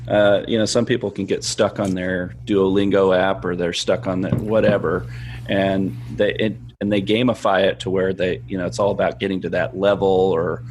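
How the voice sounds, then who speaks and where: A man hosting a podcast interview, asking halting questions with frequent restarts. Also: low voice, level tone, darkish tone, a little hiss or hum. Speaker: podcast host and junior high teacher.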